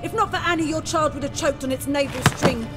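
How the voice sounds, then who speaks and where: A woman's voice: sits high, quick pace, over music, close to an everyday voice. An actress voicing a bitter, grieving village woman in a video game, biting and accusing. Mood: furious, grieving. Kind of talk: video game cutscene acting.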